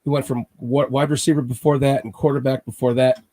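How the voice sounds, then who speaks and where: A man with a cold hosts a laid-back sports podcast, his voice a touch raspy. He talks in rambling runs, often restarting his sentences and fretting over games. His pitch sits at a middling level for a man. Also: level tone, quick pace, dark tone. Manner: rambling, restarts often, nervous fan talk. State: has a cold, slightly raspy. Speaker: podcast host. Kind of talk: casual sports podcast chat.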